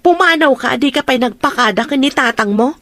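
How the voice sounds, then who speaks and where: A woman's voice: high voice, expressive, a little fast, fairly bright tone, dry recording. A woman playing a demanding, self-pitying sickly stepmother in a radio drama, bossy and scolding. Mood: indignant. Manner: scolding, complaining. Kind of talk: Ilocano radio drama acting.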